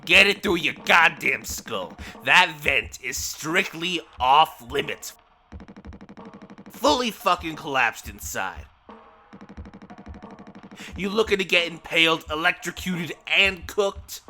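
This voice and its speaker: An actor playing a gruff, bitter, foul-mouthed older mechanic in a game dub, grumbling and sarcastic. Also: male voice, a little high, expressive, takes it slowly, pauses often, pushed and bright, faint music behind, full character voice. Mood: angry scolding. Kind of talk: game fandub voice acting.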